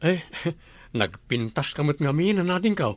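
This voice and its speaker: A man's voice: fairly high pitch, very animated, unhurried, dry recording. A young man with an Ilocano accent, acting in a comedy drama, teasing and quizzical.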